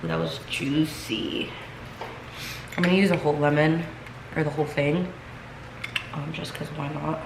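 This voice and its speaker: A young woman with a low voice chats to her viewers at home, sounding stuffy and congested after being sick. She rambles warmly and loosely, heavy on "like" and "you guys." The room is echoey and there is clear noise in the background. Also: fairly even intonation, unhurried, pauses often. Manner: chatty, filler-heavy, talks straight to viewers. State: congested, recovering from illness. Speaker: vlogger. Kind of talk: casual chatty vlog. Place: home kitchen, then seated at home.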